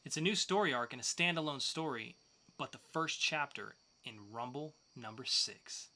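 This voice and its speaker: A man's voice: medium pitch, moderate pace, sharp tone. A man co-hosting a podcast, presenting scripted segments in an upbeat style. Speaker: podcast co-host.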